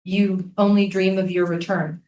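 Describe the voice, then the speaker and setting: A woman's voice: medium pitch, flat delivery, unhurried, a little mellow, natural voice. A woman reading the part of a haunted, earnest female soldier and interrogator in a staged play. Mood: searching. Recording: echoey room, distant from microphone.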